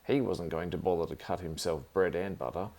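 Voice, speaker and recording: A man's voice: sits low, steady tone, a little fast, a little mellow. A man reading a children's story aloud as the narrator.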